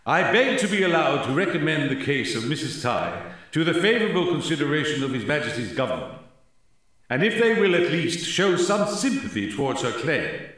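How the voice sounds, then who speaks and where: A man's voice: on the high side, very animated, moderate pace, a bit bright. Very echoey, formal male official. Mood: earnest, formal. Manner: formal, deferential. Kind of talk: radio drama acting, formal letter reading. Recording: very echoey, roomy.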